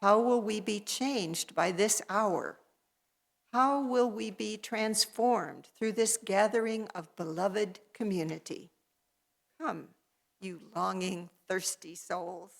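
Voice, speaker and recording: A woman leading a worship service, reading and announcing in a measured, ceremonial way. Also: mid-range voice, vocal fry. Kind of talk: liturgical reading and announcements to a congregation.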